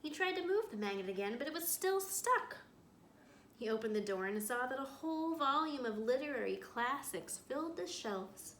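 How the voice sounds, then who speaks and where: Librarian lady reading a kids picture book, highish voice, echoey room w/ bg noise. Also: moderate pace. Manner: expressive storytelling with asides to young listeners. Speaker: school librarian. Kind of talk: picture book read-aloud for children. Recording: roomy echo, clear background noise.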